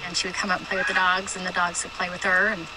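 A woman in her fifties interviewed outdoors as a neighbor, speaking slowly and emphatically. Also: on the low side, level tone. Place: outdoors by a street. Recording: TV interview clip, loud noise.

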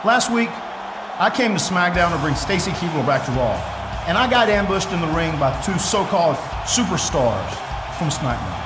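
A brash, defiant male pro wrestler with a high voice. He delivers a game promo in an echoing space, music clearly behind. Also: big pitch swings, moderate pace. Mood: angry challenge. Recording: echoey arena sound with music.

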